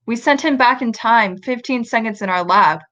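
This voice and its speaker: A woman's voice: fairly high pitch, moderate pace, fairly bright tone, dull audio, lightly acted. A woman acting a driven, defensive scientist in an amateur radio play, insistent and formal.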